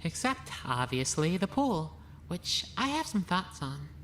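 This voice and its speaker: A woman playing a composed, analytical young woman, speaking in a measured, matter-of-fact way. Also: fairly low pitch, sing-song, a bit bright, slight background noise. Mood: calm, analytical. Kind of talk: animated murder-mystery voice acting.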